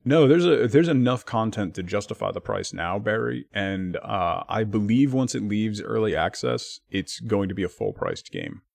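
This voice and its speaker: A man streaming live, chatting casually with his viewers and thanking them by name, his talk full of lively swings up and down. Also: on the low side, gravelly, no room sound, studio quality.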